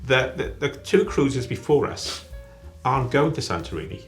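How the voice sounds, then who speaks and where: A man planning a trip on camera, chatting in a halting way that restarts its sentences. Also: mid-range voice, sing-song, soft background music. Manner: chatty, halting, self-correcting. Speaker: travel vlogger. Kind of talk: casual chat.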